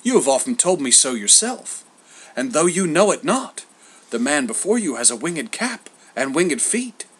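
An innocent young servant boy from a storybook, voiced by a grown man. He is timid and polite, pleading earnestly with a lively, slightly lifted tone. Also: fairly high pitch, normal speed, husky, pitched above the performer's own voice.

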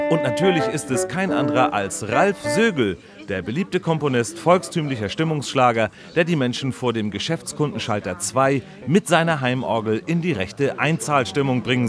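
An actor playing an earnest, polite radio reporter, formal and unflustered, in a mock live report. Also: male voice, mid-range voice, animated, moderate pace, faint music behind, lightly acted.

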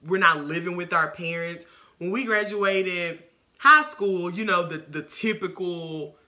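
A woman's voice: fairly low pitch, measured pace, sharp tone. An American woman in her twenties chatting casually as a vodcast co-host, making her points emphatically. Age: 20s. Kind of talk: casual chat. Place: living room couch.

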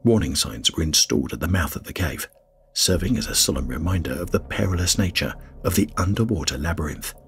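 A man reading a scripted documentary narration in a grave, measured way. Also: low voice, lively intonation, a bit bright, slight background noise. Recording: faint music bed under voice-over.

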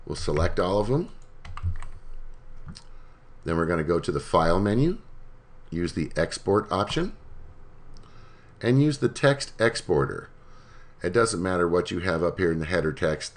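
A man presenting a screencast software tutorial, giving plain step-by-step instructions in a voice-over. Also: low voice.

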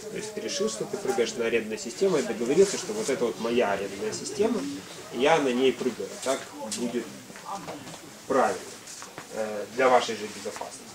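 A young man giving an informal lecture as an instructor, halting a little as he thinks aloud. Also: mid-range voice. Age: young adult. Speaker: seminar lecturer, parachute instructor. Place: indoor equipment hangar with small audience. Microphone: camera some metres away. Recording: echoey room, distant mic, group noise.

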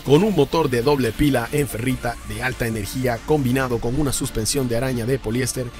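A man's voice: medium pitch, fairly quick. Man narrating car audio specs over music. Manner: reads spec lists and prices in an even explaining tone. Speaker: car audio channel host. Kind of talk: scripted product narration. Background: background music.

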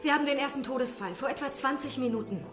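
Female, actress playing tense doctor, very high voice, echoey and off-mic. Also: quick pace, natural voice. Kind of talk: German radio drama acting. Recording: distant, echoey, loud music behind.

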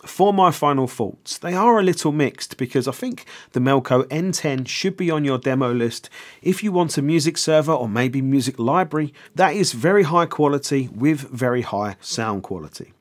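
Measured male product reviewer. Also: mid-range voice, animated.

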